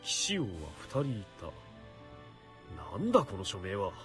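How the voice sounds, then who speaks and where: A man's voice: lowish voice, wildly swooping pitch, fast talker, fairly bright tone, soft background music. A man voicing a stern, earnest young knight in a Japanese visual novel, speaking formally as he mutters to himself. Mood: puzzled, muttering.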